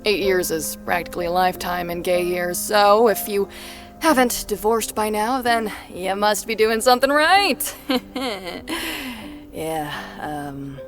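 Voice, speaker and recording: Woman acting slow, awkward, flippant officiant. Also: medium pitch, natural voice. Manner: rambling, deadpan, throat-clearing hesitancy. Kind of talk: audio drama acting. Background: prominent music.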